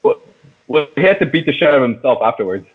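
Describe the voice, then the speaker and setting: A man's voice: mid-range voice, very animated, fast, breathy edge. A man chatting casually and enthusiastically about movies as a podcast co-host, swearing freely. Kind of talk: casual movie chat with friends. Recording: thin phone-like, radio-like sound.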